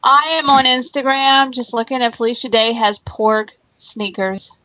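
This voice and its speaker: A woman's voice: a little high, fairly even intonation, moderate pace, pushed and bright, dry recording, muffled recording. A woman co-hosting a live show in loose, casual conversation. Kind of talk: casual chat.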